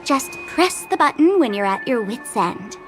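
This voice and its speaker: A woman's voice: a little high, big pitch swings, moderate pace, music in the background. An actress playing a playful, mischievous female trickster in a video game, taunting in a theatrical, mock-sweet way. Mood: teasing, mischievous. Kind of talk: dramatic video game voice acting.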